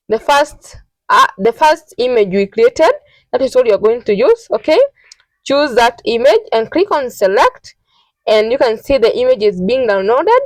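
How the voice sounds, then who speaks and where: A woman narrating an informal step-by-step tutorial in a halting, repetitive, instructional way. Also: fairly high pitch, dry recording, rough recording. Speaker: tutorial host.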